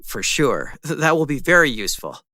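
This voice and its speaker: A man's voice: medium pitch, very animated, a little fast, sharp tone, no echo, clean studio sound. A man playing a friendly young student. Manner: easygoing, asks friendly questions. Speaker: student in a dialogue. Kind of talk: scripted conversation for listening practice.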